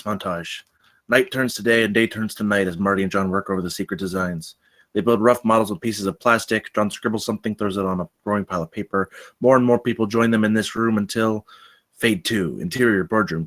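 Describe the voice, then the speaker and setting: A man's voice: lowish voice, fairly quick, fairly bright tone. A man narrating the scene headings and stage directions at a screenplay table read.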